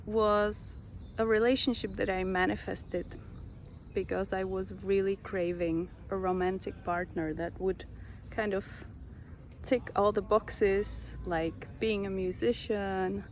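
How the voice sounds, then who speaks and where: A young Czech woman with a Czech accent, slowly sharing a personal spiritual story with many pauses. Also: medium pitch, flat delivery, slight background noise. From Czech Republic. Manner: slow, reflective, pausing often. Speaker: vlogger.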